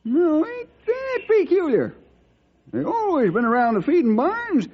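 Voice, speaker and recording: An actor playing an excitable, folksy old cowboy with a drawl in an old time radio drama. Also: male voice, very high-pitched, a little slow, highs cut off, heavily acted. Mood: puzzled.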